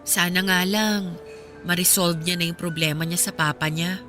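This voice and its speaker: A woman's voice: lowish voice, fairly even intonation, normal speed, bright, forceful tone, faint music behind. Subdued, weary young heartbroken woman. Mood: wistful, gentle. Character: weary, resigned young woman confiding about her breakup. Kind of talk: Filipino radio drama dialogue.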